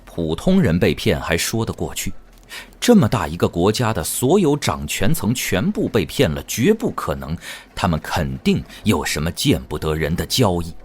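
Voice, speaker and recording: A man's voice: deep voice, very animated, moderate pace, darkish tone, slightly rough. A man narrating a fantasy audio drama in a dramatic, scripted storytelling style. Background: music.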